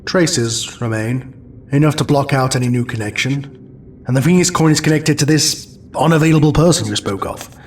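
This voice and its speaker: A man playing an ancient, solemn alien sage in an audio drama, speaking in a measured, deliberate way. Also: mid-range voice, slight background noise, lightly acted. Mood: pondering, grave.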